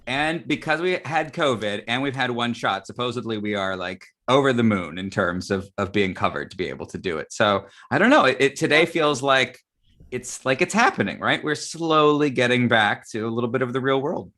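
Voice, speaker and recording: Middle-aged American male host, very bright, forward voice, informal and enthusiastic. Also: medium pitch, expressive, normal speed. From Arizona, United States. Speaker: talk show host. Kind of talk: live casual chat show hosting.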